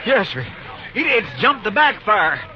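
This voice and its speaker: An actor playing an anxious, folksy, loyal deputy sidekick in a 1950s Western radio drama, fretful and deferential. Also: male voice, high voice, very animated, a little slow. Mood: worried. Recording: muffled 1950s radio recording.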